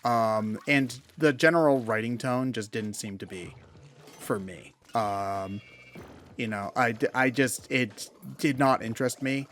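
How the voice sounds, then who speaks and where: A man's voice: medium pitch, measured pace, fairly bright tone. A man giving casual video game commentary, talking in a hesitant, rambling way. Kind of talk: casual gameplay commentary chat.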